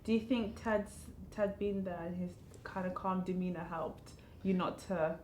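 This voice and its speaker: A woman's voice: lowish voice, fairly even intonation, measured pace, darkish tone, reverberant. A British woman hosting an interview, asking questions in a laughing, encouraging way. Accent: British English. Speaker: host. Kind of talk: interviewing guests.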